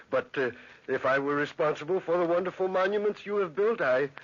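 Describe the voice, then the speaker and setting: An actor playing a dryly patient chief magistrate in old radio drama style, formal and polite. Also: male voice, a little high, fairly bright tone. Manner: formal, measured, throat-clearing politeness. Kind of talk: 1940s radio drama acting. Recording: dull lo-fi old radio recording.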